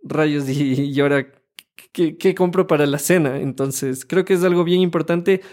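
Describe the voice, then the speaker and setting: A man in his thirties from Ecuador with an Ecuadorian accent, co-hosting a casual podcast in a studio and acting out the people he quotes. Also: on the high side. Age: 30s. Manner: animated, acts out quoted people, slows to stress points. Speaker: podcast co-host. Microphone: studio microphone.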